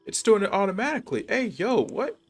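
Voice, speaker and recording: A man's voice: high voice, very animated, brisk, faint music behind. A man giving casual, slangy live commentary as he streams a game, often exclaiming in reaction.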